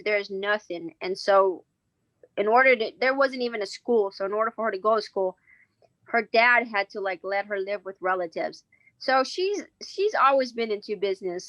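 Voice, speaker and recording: A woman's voice: medium pitch, a bit bright, no echo, low-quality recording. A woman in her forties from Vietnam, answering interview questions in a casual, chatty way with many fillers and restarts. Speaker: business owner guest.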